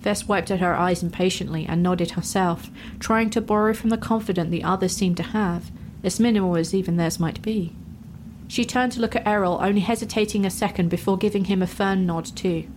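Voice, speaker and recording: A woman's voice: mid-range voice, a little fast, a little mellow, a little hiss or hum, rough recording. A woman narrator reading a scripted fantasy story.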